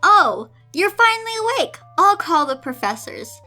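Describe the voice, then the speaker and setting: A woman's voice: very high voice, big pitch swings, measured pace, sharp tone, soft background music, pitched above the performer's own voice, full character voice. A woman voicing a caring but firm school infirmary matron in a fan fiction reading. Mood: fussing, firm. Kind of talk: fan fiction read-aloud.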